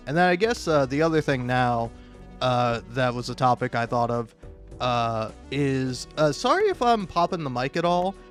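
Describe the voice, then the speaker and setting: A young man telling casual, self-deprecating stories in an animated, rambling monologue, recorded on a modest home mic. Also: medium pitch. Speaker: video creator. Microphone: cheap mic, home recording. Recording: amateur mic with occasional plosive pops. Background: music.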